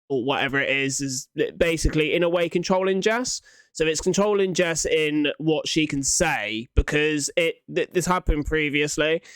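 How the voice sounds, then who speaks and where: A young man with a slightly high voice reacts to what he is watching. He talks casually and informally, thinking aloud and swearing freely as he comments. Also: fairly bright tone, clean tone, no room sound. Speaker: reaction video host.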